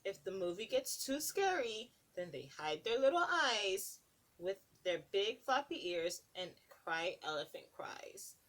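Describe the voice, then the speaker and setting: A woman's voice: mid-range voice, big pitch swings, unhurried. A woman preschool teacher reading a picture book aloud to young children, stopping to ask them questions. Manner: sing-song, asks children questions between pages.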